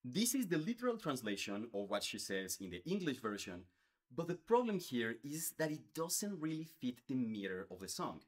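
A man's voice: mid-range voice, normal speed. A man in his thirties from Mexico, a Spanish speaker, earnestly explaining to the camera with lots of hand gestures. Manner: earnest, animated, gestures a lot with his hands. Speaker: YouTuber. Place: indoors, in front of a space backdrop.

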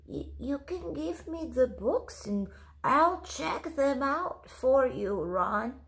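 A woman voicing a gentle but rule-bound library lady from a storybook, careful and insistent. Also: sits high, expressive, slow pace, gravelly, echoey room, pitched above the performer's own voice, full character voice. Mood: gentle, hesitant.

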